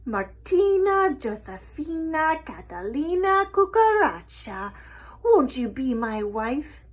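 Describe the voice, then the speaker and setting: A woman's voice: high voice, big pitch swings, slow pace, pitched above the performer's own voice, heavily acted. A woman voicing a boorish, smelly male pig in a storybook, hammy and snorting. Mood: wooing. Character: boorish, smelly male pig suitor, proud of his filth. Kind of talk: storybook character voice.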